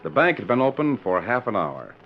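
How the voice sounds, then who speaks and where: An actor as a stately, stirring announcer narrating a 1940s radio western in dramatic style. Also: male voice, mid-range voice, sing-song, a little fast, soft background music. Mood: measured. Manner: stately, theatrical. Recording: dull 1940s radio recording.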